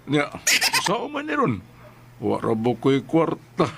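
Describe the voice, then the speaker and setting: A man playing an overbearing, menacing neighbour in a radio drama, with a Visayan Filipino accent and a halting, insinuating way of talking. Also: fairly high pitch, huge pitch swings, gravelly, noisy background, heavily acted. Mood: sly, hinting. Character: overbearing, menacing male neighbour who leans on people.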